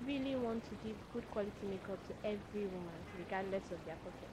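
A woman's voice: mid-range voice, quick pace. A young woman with a Nigerian accent giving interview answers as a beauty brand representative. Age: young adult. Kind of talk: interview answers, product pitch. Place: outdoor market fair. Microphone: handheld interview microphone. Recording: loud outdoor crowd and traffic.

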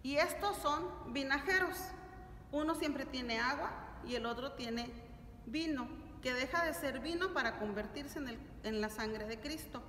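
A woman's voice: high-pitched, measured pace, fairly bright tone. A middle-aged woman teaching a religious lesson to families in a didactic, questioning way, recorded in a church. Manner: didactic, asks check-in questions. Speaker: catechism teacher. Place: large stone-walled church, indoors. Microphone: camera a few steps away. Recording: echoey church hall, distant mic, noisy.

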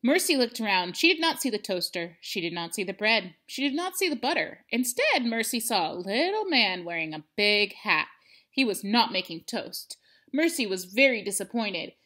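Female storybook reader, thirties, expressive read-aloud with highly varied intonation and dramatic emphasis. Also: normal speed. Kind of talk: animated children's read-aloud. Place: indoors, living room at home.

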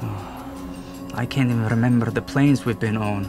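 An actor playing an uneasy, doubtful younger brother in a film thriller, with music behind him. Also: male voice, mid-range voice, steady tone, unhurried, natural voice.

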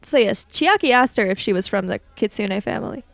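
A woman playing a tabletop roleplaying game, describing her character in a measured, matter-of-fact way. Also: medium pitch, expressive, no room sound. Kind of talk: third-person roleplay narration.

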